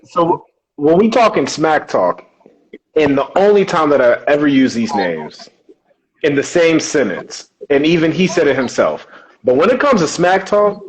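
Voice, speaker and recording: Animated man firing questions. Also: fairly high pitch, measured pace, poor audio. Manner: animated, asks questions, laughs loudly. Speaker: podcast co-host asking questions. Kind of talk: casual chat.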